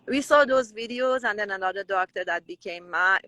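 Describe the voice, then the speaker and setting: A woman's voice: medium pitch, fairly quick, sharp tone, no echo. A woman with a Persian accent, a native Persian speaker, talking as an interview guest with many restarts and fillers. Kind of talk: conversational interview.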